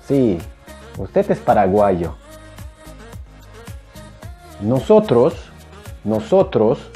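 Young guy teaching a lesson, super mellow dark voice, really swingy intonation, talks slow. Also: mid-range voice. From Peru. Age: young adult. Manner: animated, emphatic, repeats phrases slowly. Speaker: Spanish teacher. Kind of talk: language lesson to camera. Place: small indoor room, plain wall. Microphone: clip-on lapel mic.

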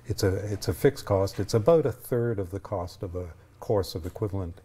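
Older man with a low, mellow voice. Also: fairly quick, breathy edge. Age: 60s. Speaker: ski patrol leader, interview guest. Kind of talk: explanatory TV interview talk. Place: TV studio, indoors. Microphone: lapel mic.

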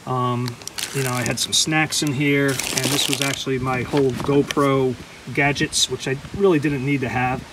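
A man casually walking the viewer through his gear outdoors, relaxed and chatty with frequent ums and restarts, recorded on a handheld camera. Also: medium pitch, moderate pace, noisy background. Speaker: outdoors vlogger. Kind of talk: casual show-and-tell walkthrough. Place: outdoors beside a canoe.

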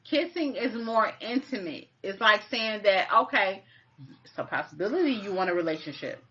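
A woman's voice: medium pitch, expressive, normal speed, a bit bright. A woman in her thirties co-hosting a casual live chat from a home kitchen, talking frankly and plainly. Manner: frank, matter-of-fact, uses "you know". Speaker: co-host. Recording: muffled lo-fi webcam audio.

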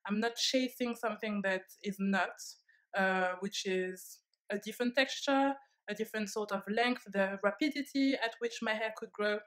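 A young woman with a French accent talks straight into a close camera from her sofa at home. Her delivery is candid and reflective, with many small fillers. Her pitch sits in a middle range. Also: steady tone, moderate pace, a bit bright. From France. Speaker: vlogger. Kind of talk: casual talk to camera. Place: living room, seated on a leather sofa.

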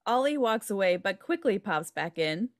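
A woman's voice: medium pitch, big pitch swings, moderate pace, no echo, clean studio sound. A woman reading out stage directions as scripted narration for a table read over a video call. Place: indoor room at home on video call.

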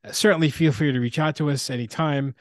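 Podcast host guy, mid pitch, upbeat sign-off, dry close sound. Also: fairly even intonation, fast, no echo. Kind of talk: podcast outro.

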